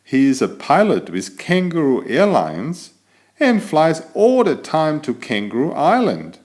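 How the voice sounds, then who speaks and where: Man voicing a vain, lively storybook tomcat, unhurried. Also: fairly high pitch, voice pushed up. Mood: surprised. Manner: self-admiring, theatrical, addresses young listeners directly. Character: elegant, vain, chatty tomcat telling his adventures. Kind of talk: animated children's picture-book narration.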